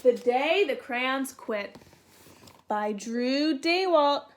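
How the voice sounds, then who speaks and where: A woman's voice: high voice, lively intonation, drawn-out words, slight background noise. A woman talking to young children as she introduces and reads a picture book, in simple, question-led, child-directed speech. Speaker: children's read-aloud teacher.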